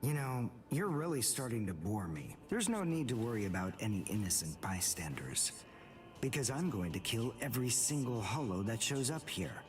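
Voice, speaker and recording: Guy doing a snooty cold teen anime rival, condescending game dub voice, big pitch swings, music under it. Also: big pitch swings, distant mic, lightly acted. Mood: cool menace. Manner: haughty, deliberate, condescending. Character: cold, arrogant teenage male archer rival.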